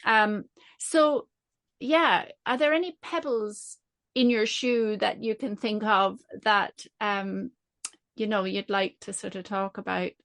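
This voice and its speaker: A woman hosting a podcast, reading a measured introduction and then asking questions in an earnest way. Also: medium pitch, steady tone, fairly bright tone. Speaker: podcast host. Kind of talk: scripted intro, then interview.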